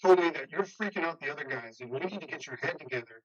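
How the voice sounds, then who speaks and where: Man playing husky, exasperated workmate. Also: on the high side, expressive, fast, highs cut off. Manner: informal, slangy, urgent. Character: worried, exasperated workmate covering for troubled friend. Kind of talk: play reading, modern drama.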